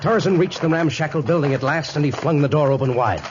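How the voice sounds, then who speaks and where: A man's voice: fairly high pitch, quick pace, over loud music, close to an everyday voice. A man narrating a jungle adventure serial in dramatic 1950s radio style. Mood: dramatic, suspenseful. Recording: muffled old radio recording.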